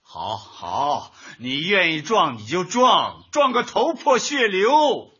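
Chatty older man in radio comedy, raspy but bright, wordy neighbour type, slightly lo-fi. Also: high voice, sing-song, slow pace, dry recording, full character voice. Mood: exasperated. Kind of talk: radio comedy drama acting.

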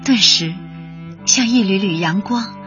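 A woman's voice: medium pitch, a little slow, highs cut off, lightly acted. A young woman playing an idealistic community worker, narrating a radio drama in a reflective, scripted first person. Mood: moved, reflective. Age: young adult. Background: loud instrumental music.

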